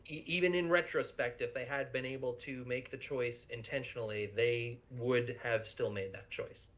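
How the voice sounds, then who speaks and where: A man in an informal group commentary, talking a little haltingly and repeating words while he thinks. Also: mid-range voice, fairly even intonation, fairly bright tone. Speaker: panelist in a cast and crew commentary. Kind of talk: casual group discussion.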